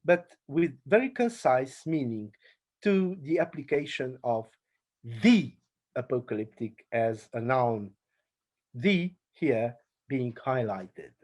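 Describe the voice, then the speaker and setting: Male academic speaker, Hungarian accent, deliberate slow pace with frequent pauses and fillers. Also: mid-range voice, lively intonation, darkish tone. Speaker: conference speaker. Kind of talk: academic paper presentation.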